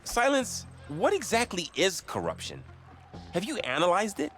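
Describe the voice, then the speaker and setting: An actor playing a sensible young warrior companion in a comedic animated game, calm and earnest in manner. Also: male voice, high-pitched, normal speed, faint music behind, exaggerated voice. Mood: challenging.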